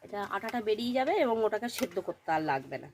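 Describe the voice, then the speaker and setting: A woman's voice: medium pitch, big pitch swings, fast talker. A woman from Bengal hosts a village home-cooking video, narrating each step in a plain, instructional way with repeated phrasing. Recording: loud cooking noise, sizzling and stirring.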